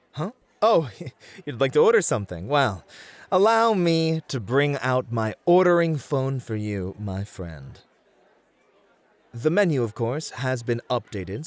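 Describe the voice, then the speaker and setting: Man acting courteous club host, very animated pitch. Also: no room sound. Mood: flustered, amused, then courteous, attentive. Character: courteous, playful male bunny-suit club host. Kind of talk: flirty ASMR roleplay acting.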